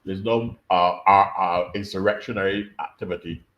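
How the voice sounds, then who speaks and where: Man, low register, patient academic explainer, muffled call audio. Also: fairly even intonation, a bit bright. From Barbados. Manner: measured, explanatory, repeats words while thinking. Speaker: invited speaker, political scientist. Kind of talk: conference talk over video call.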